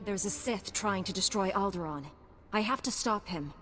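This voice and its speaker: An actress playing a resolute young female knight in a video game, calm, direct and earnest. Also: medium pitch, normal speed, big-room sound, faint music behind, lightly acted. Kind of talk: video game cutscene acting.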